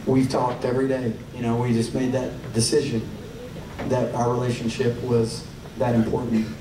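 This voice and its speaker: Tennessee preacher in an echoey hall. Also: male voice, mid-range voice, monotone, normal speed. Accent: Southern US, Tennessee. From Tennessee, USA. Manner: casual, joking, drawn out, rising to fervent. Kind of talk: live evangelistic sermon. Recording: echoey hall, distant mic, room noise.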